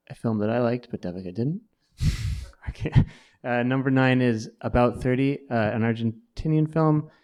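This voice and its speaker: A man with a medium-pitched voice co-hosts a live panel. He presents casually and conversationally in front of an audience. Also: a little mellow. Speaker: co-host and magazine editor. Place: live event on stage before an audience.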